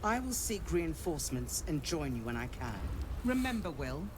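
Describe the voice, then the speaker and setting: An actress playing a dignified, resolute city councillor in a video game, speaking formally and with measured gravity. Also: female voice, low-pitched, big pitch swings. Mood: grave, formal. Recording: game audio with clear background noise.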